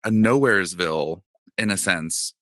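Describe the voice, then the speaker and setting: A man's voice: low-pitched, moderate pace, pushed and bright, no echo. A man co-hosting a podcast in casual chat, rambling with lots of fillers and restarts. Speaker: podcast co-host.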